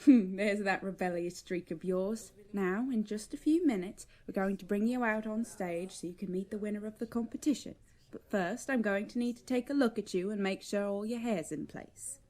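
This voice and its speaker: A woman's voice: mid-range voice, normal speed, mellow, lightly acted. A woman playing a kindly, proper servant to royalty in a fantasy audio drama, soothing and folksy in manner. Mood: gently firm.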